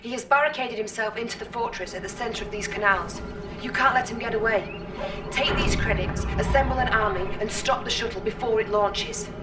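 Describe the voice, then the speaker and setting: A woman's voice: fairly high pitch, a little fast, lightly acted. A woman playing a poised, earnest military officer in a game, direct and polite. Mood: urgent. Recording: distant, under very loud game music.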